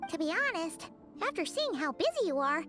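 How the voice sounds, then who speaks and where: A woman's voice: extremely high pitch, big pitch swings, fairly quick, music in the background. A woman voicing a small, eager, childlike fairy companion in a video game, exclamatory and caring. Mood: sheepish, apologetic. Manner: refers to self in third person, exclamatory. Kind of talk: video game character acting.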